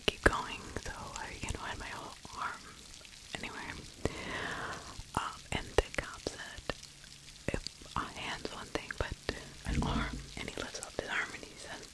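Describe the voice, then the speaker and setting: Whispering woman, slow ASMR storyteller. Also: rough recording. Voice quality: breathy, unvoiced whisper. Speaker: ASMR true crime storyteller. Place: small indoor room. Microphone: large furry-windscreened mic, held very close to the mouth.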